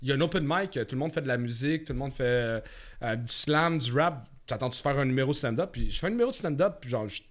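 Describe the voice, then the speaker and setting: A Québécois man in his thirties chatting casually and telling stories in an animated way, repeating words for emphasis. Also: mid-range voice, fast talker. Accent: Québécois French. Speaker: guest, stand-up comedian. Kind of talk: casual chat with storytelling.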